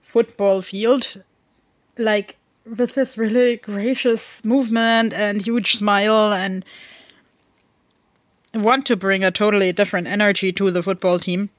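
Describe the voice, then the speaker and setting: A woman recapping a film in a casual, rambling way, with many pauses and fillers. She speaks English as a second language and often goes off on tangents. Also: mid-range voice, steady tone, no echo. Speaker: film reviewer.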